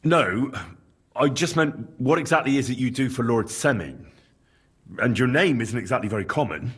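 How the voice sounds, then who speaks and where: Lively young blacksmith's hand. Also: male voice, medium pitch, normal speed, slightly rough. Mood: polite, curious. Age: young adult. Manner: polite, plain-spoken. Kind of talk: video game dialogue acting.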